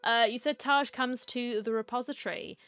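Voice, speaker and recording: A woman's voice: a little high, steady tone, moderate pace, a bit bright, clear, resonant tone. A woman giving casual, wry commentary and reactions as she plays through a game. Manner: chatty, wry. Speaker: game streamer. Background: game music.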